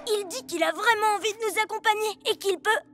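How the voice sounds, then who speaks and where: A woman's voice: extremely high pitch, a little fast, loud music behind the voice. A woman voicing a naive, hesitant six-year-old boy superhero in a cartoon dub. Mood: unsure. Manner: hesitant, questioning. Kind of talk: French cartoon dubbing.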